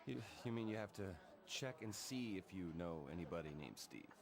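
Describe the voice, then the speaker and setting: A man's voice: low-pitched, animated, normal speed, vocal fry, a crowd in the background. A man voicing a nervous, bewildered young man in a video game cutscene, stammering and hesitant. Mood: baffled. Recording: music under the voice.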